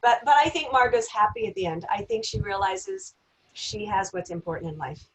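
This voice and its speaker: A woman's voice: fairly high pitch, big pitch swings, normal speed, creaky, rough recording. A woman speaking as a panelist in a casual, unscripted discussion. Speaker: panelist, actress.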